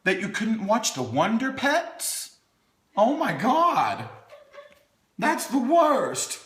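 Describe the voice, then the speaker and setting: A man's voice: very high voice, wildly swooping pitch, takes it slowly, a bit bright. A man hosting a playful storytime, reading a children's book with wry, chatty asides in a theatrical way, as if talking to small children. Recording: echoey room.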